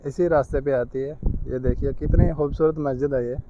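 A man's voice: mid-range voice, steady tone, a little fast, muffled recording. Man, casual tour narration, devout, phone mic, outdoor traffic noise. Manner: devout, pointing things out. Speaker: vlogger giving a walking tour. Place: outdoors on city streets and at a mosque. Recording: noisy outdoor phone recording.

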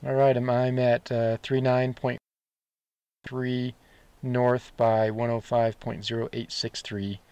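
A man's voice: on the low side, darkish tone. A man talking casually as a radio operator running a test with a partner.

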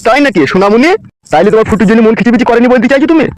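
Fast-talking, bullying village politician played by a man. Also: very high voice, no room sound. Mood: jealous, threatening. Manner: gruff, scolding, threatening. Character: foul-mouthed bullying village politician, jealous husband. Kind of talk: amateur comedy cartoon dialogue.

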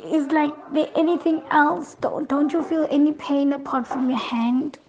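A woman's voice: sits high, steady tone, normal speed, darkish tone. A woman playing a doting, worried partner in an amateur audio drama, fussing and repeating herself. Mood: concerned. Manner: fussing, repetitive. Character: doting, worried female partner of injured man. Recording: dull lo-fi recording, faint noise, clicks.

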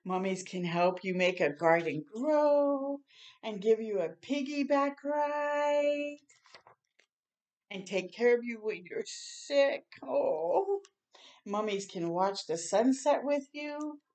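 An older woman hosting a children's storytime, reading a picture book aloud slowly and gently, pausing to react to the pictures. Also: fairly high pitch, expressive. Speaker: storytime host. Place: small room indoors.

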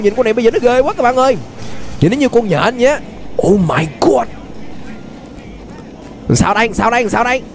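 A young man with a southern Vietnamese accent giving excited, exclamatory gameplay commentary, often repeating phrases as he reacts. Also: extremely high pitch, a little hiss or hum. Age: young adult. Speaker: gaming YouTuber, host. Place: indoors at a gaming setup. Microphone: desk microphone close to the face.

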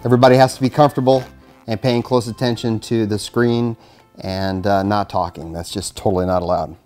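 A man's voice: lowish voice, steady tone, brisk, darkish tone, faint music behind. A middle-aged man with an American accent, a fire chief reading a children's storybook aloud and talking to kids. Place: indoors in front of a curtain backdrop, studio-like setup.

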